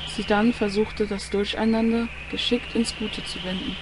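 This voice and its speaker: A woman's voice: medium pitch, fairly even intonation, normal speed, very noisy. A woman reading scripted narration for an audio drama. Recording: music under the voice. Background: music.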